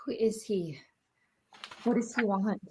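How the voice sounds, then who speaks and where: A woman's voice: medium pitch, takes it slowly, a little mellow, slight background noise, close to an everyday voice. A woman reading the part of a panicky, stubborn young camp counselor in a live slasher table read. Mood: shaken, breathy.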